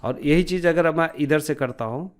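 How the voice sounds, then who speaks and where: Higher-voiced man teaching step by step. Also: moderate pace. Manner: explanatory, often checks with tag questions. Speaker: software tutorial instructor. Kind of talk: informal step-by-step screen tutorial narration.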